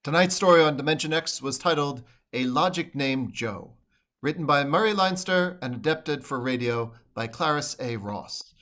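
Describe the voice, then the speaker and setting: Casting: male announcer, slightly high voice, formal scripted old-time radio sign-off. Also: animated, moderate pace, fairly bright tone. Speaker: closing credits announcer.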